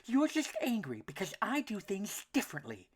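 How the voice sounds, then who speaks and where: A woman voicing a sensitive, timid little boy duckling in a storybook, in a high, childlike, earnest voice. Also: wildly swooping pitch, normal speed, husky.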